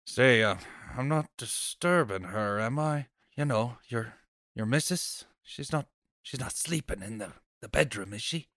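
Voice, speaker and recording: A man's voice: mid-range voice, animated, unhurried, a bit bright, no echo, clean studio sound. A man playing a nosy, chatty, stubborn off-duty policeman neighbor in a table read of an old radio horror play, with folksy, blustery speech. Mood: confiding, sheepish.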